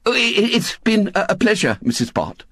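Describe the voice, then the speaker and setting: Nervous young newspaper man, acted by a male narrator: courteous, halting, slightly high, storybook style. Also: big pitch swings, fairly bright tone, slightly rough, no room sound, studio quality, full character voice. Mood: nervously polite. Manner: hesitant, stammering, courteous.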